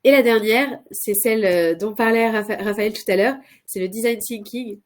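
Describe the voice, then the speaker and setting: A woman's voice: a little high, normal speed. A woman with a cold, presenting as a consultant in a live webinar, hesitant with many filler sounds and restarts. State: has a cold.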